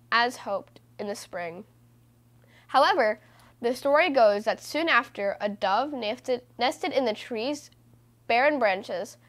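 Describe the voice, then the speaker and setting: A woman reading a children's book aloud as host, in a measured, deliberate way with stressed phrases. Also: a little high. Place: small room indoors.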